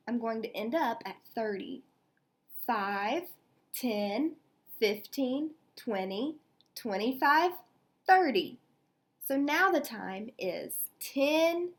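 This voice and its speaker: A woman with a high voice reads aloud as an elementary school teacher. She takes her time, moving slowly and deliberately as she explains each step. Her pitch moves around a lot, giving the storytelling an animated lift.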